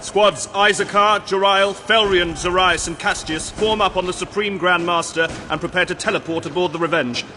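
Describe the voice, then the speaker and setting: A man's voice: high-pitched, fairly even intonation, normal speed, hardly any pauses, bright, forceful tone. A man playing a fierce, dutiful armored officer giving clipped military orders in a sci-fi war audio drama, in a cavernous echo. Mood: dutiful, commanding. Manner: clipped, commanding military delivery. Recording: long cavernous reverb, faint battle noise.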